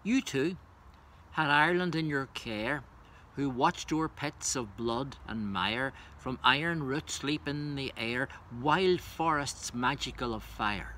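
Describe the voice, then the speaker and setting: A man with a bright, forceful voice at a middling pitch, presenting poetry in a measured manner. His delivery suits a reader addressing listeners with verse and commentary. A faint background noise runs under the recording. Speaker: reader introducing and reciting a poem. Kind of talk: informal spoken introduction and poetry recitation.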